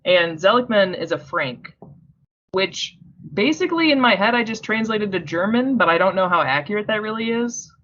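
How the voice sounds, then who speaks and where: A young woman co-hosting a book podcast, explaining the story in a relaxed, teasing way. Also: mid-range voice, normal speed. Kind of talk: casual book discussion. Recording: dull lo-fi recording.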